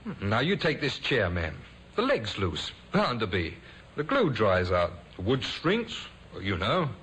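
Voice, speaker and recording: An actor playing a smooth-talking young handyman conman with glib, folksy patter, in 1950s radio drama style. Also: lowish voice, huge pitch swings, measured pace, fairly bright tone, exaggerated voice. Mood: ingratiating. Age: young adult. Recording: noisy old 1950s radio recording.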